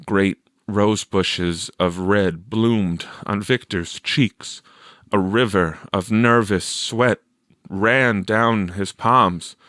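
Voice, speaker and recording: A man narrating a story from a script in a measured, expressive storytelling manner. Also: lowish voice, no room sound, clean studio sound. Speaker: audiobook narrator.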